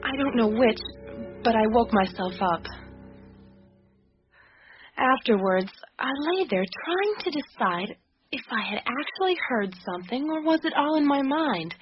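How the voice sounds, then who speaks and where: An actress playing a defiant, skeptical young woman in a radio drama, with a blunt, exasperated, sardonic manner. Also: a little high. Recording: muffled radio recording with clicks and hiss.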